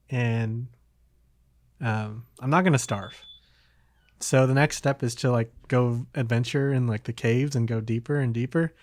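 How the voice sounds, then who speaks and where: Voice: mid-range voice, a little mellow, no room sound. A podcast host chatting casually about video games in an informal, slightly hesitant way.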